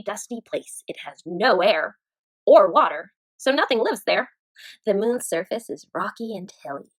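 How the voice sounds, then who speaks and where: A woman teacher reading a children's nonfiction book aloud in an expressive storytime manner. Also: medium pitch, no echo, low-quality recording.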